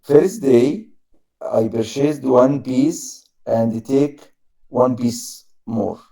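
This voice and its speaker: A man practicing his English, halting often to find the right word. He speaks very slowly, and his voice has a gravelly fry and a dark tone. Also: medium pitch, low-quality recording. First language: Arabic. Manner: halting, searching for words, simple grammar. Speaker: English learner. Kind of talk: casual conversation practice.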